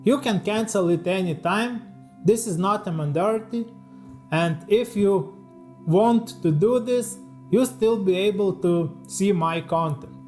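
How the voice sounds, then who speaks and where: A man with a Lithuanian accent reading a scripted voice-over from a teleprompter, in careful, slightly halting English as a second language. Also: high voice, a little mellow, faint music behind. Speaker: leathercraft YouTuber.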